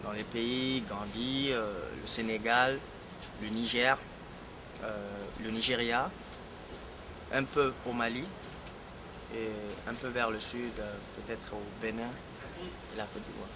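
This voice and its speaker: A man's voice: medium pitch, little pitch movement, slow pace, long pauses. Hesitant man over traffic noise. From Guinea. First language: Fula. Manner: hesitant, repeats words, frequent "tu vois" fillers. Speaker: singer being interviewed. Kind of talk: casual interview chat.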